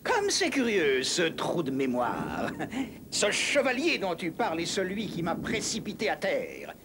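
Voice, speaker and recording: Haughty Templar villain: high voice, swooping delivery, sardonic and vengeful, cartoon dub with background noise. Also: male voice, huge pitch swings, measured pace, full character voice. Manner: sardonic, arrogant. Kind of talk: dubbed cartoon acting.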